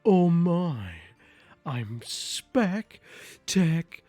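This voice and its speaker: A woman's voice: fairly low pitch, very animated, mellow, no echo, clean studio sound, heavily acted. A woman with a German accent voicing a haughty, entitled female cat in a visual novel, with formal, pompous phrasing. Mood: delighted. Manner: formal, pompous, slow and theatrical. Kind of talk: acted reading of a visual novel. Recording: music behind the voice.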